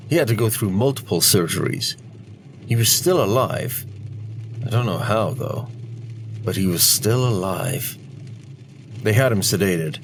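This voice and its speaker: A man's voice: fairly low pitch, slight background noise. A man playing a somber storyteller in an audio drama, recounting grim news plainly. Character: somber man recounting a friend's critical injury.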